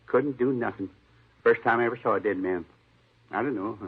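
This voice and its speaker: A man's voice: medium pitch, fairly quick, husky. An actor playing a shaken, defensive building superintendent in his fifties, speaking plainly and repeating himself in an old radio drama. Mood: shaken, numb. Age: 50s. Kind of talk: 1950s radio drama acting. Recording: tinny band-limited old radio recording.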